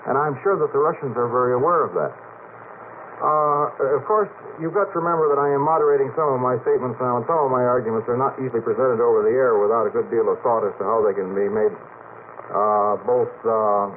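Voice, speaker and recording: A man arguing as a guest in a radio discussion, choosing his words carefully and analytically with hesitant starts. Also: medium pitch. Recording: noisy, phone-like vintage radio recording.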